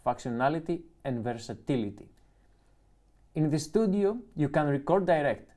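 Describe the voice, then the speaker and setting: This is a man with a Greek accent, his voice sitting slightly high for a man. He talks casually as he unboxes a pedal on a live stream. When he reads the manual aloud he halts and restarts, fixing his own slips as he goes. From Greece. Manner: relaxed, halting, restarts and self-corrections while reading. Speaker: music gear reviewer.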